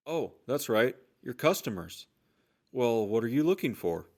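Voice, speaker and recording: A man's voice: mid-range voice, big pitch swings, unhurried, a little mellow. A man playing a chatty, obliging general-store trader in a post-apocalyptic audio drama, full of eager sales patter. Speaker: voice actor playing a shopkeeper.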